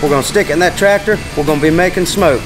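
A man's voice: on the high side, very fast, music in the background. A middle-aged man with an American accent, a folksy, plain-spoken diesel mechanic explaining a job step by step. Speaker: diesel mechanic presenting a tutorial. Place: large indoor heavy equipment workshop.

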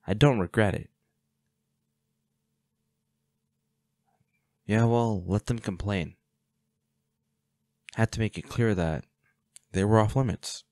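A man playing a protective, defiant hunter boyfriend in an intimate roleplay audio drama, speaking unhurriedly with sighs and pauses. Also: lowish voice, darkish tone, no echo, clean studio sound. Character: protective, defiant boyfriend, a monster hunter, tender but ruthless.

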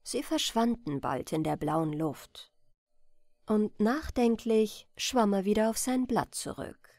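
A woman reading a bedtime fairy tale as scripted audiobook narration, in an expressive, deliberate storytelling manner. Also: mid-range voice, no echo, studio quality. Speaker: audiobook reader.

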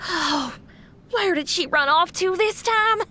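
A woman's voice: very high-pitched, lively intonation, slow pace, pushed and bright, a little hiss or hum, heavily acted. A woman playing a weary, discouraging mother of a young child in an anime game. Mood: exasperated. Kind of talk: anime video game voice acting.

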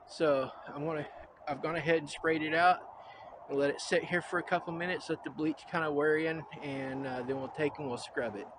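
Older man, relaxed vlog host, slightly high voice, windy outdoor recording. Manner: easygoing, repeats "really, really", many "uh" fillers. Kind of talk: casual how-to vlog. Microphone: clip-on mic, camera on tripod and handheld. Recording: wind noise on the mic. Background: wind.

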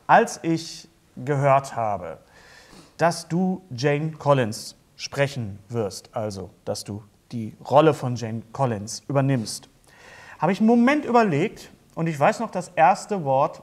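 A middle-aged man hosting a casual interview, often halting and rephrasing himself mid-sentence. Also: mid-range voice, sing-song. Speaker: talk show host. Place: indoor studio talk-show set, seated at a table.

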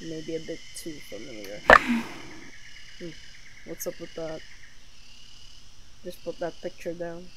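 A woman's voice: lowish voice, level tone, takes it slowly, pauses often, very noisy. A woman game streamer doing casual let's-play commentary and reading lines aloud, with sarcastic asides and some swearing. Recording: game music behind, streamed audio.

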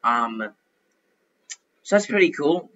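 A man's voice: fairly high pitch, animated, slow pace, a bit bright, muffled recording. A young man giving casual, chatty commentary while playing an online game, fretting and pleading aloud as he plays. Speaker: gaming YouTuber.

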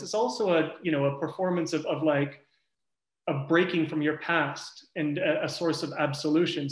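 Man, researcher on a panel, thoughtful, searching for words, fairly high voice, monotone, echoey room. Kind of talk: academic panel discussion.

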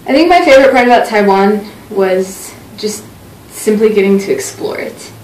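A woman's voice: medium pitch, animated, moderate pace, a little mellow. A young woman university student giving a casual interview testimony in a small office. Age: young adult. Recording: roomy, distant mic, faint room noise.

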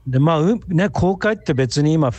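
A man's voice: on the high side, lively intonation, normal speed, a little mellow, no echo, highs cut off. Male guest expert, explanatory interview style, very varied intonation, dry studio sound. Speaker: guest researcher in archaeology. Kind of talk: explanatory radio interview answers.

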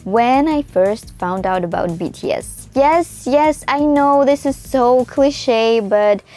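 A woman in her mid-twenties from Russia, a native Russian and Tatar speaker, talks in a chatty, expressive way with a Russian accent in a casual vlog to camera. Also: a little high, slow. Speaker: vlogger. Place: small room indoors. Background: music.

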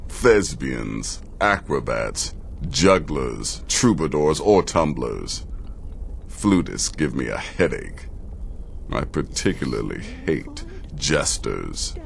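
A mocking, world-weary killer from a fantasy game. The voice is extremely deep and gravelly, and he savours each word slowly. Dry sarcasm colours the delivery, with faint ambience behind. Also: slight background noise, exaggerated voice. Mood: disdainful. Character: sardonic hooded male assassin handing out contracts.

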